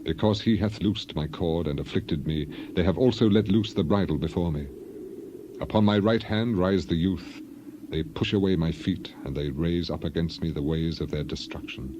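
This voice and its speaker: A man's voice: low-pitched, moderate pace, lo-fi sound, noisy background, natural voice. A man voicing a grieving, dignified biblical elder in a solemn, lamenting, declamatory dramatized reading. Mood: anguished.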